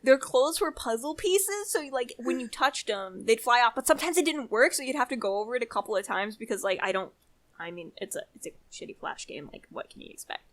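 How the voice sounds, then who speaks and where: High-voiced young woman chatting casually. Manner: animated storytelling, exasperated emphasis with drawn-out words. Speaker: podcast co-host. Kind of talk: casual chat.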